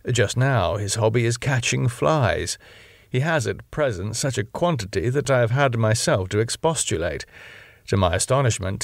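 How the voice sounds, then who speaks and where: Male literary narrator, gravelly edge, measured and expressive, recorded close and dry. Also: mid-range voice, dry recording. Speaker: audiobook narrator.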